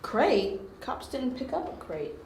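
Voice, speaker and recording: The detective's worried, skeptical friend in a homemade radio play, acted by a woman. The voice is somewhat high and emphatic, with a scolding, cautious manner. The room rings around it. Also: sing-song, a little slow, darkish tone, full character voice. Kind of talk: amateur audio drama acting. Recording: roomy, echoey home recording.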